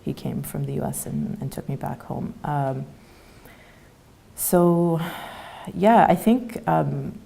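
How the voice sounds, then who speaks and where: A woman in her thirties who grew up in Canada, speaking as an interview guest in a soft, halting way with many fillers. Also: deep voice, vocal fry. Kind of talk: conversational interview.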